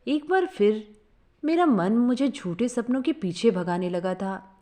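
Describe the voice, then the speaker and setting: A woman narrating a scripted first-person story in an expressive, sentimental storytelling manner. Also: on the high side, measured pace.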